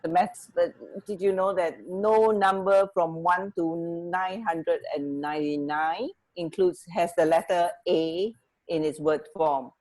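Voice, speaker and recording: A woman with a slightly low voice co-hosts a casual radio show. She speaks in a hesitant, conversational way, often stopping to rephrase what she means. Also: steady tone, darkish tone, rough recording. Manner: hesitant, halting, self-correcting. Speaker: radio co-host. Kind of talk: casual chat.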